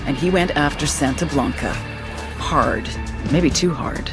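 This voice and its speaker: A woman playing a blunt, cynical American intelligence officer giving a scripted briefing with dramatic pauses. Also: sits low, lightly acted. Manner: wry, profane, dramatic pauses.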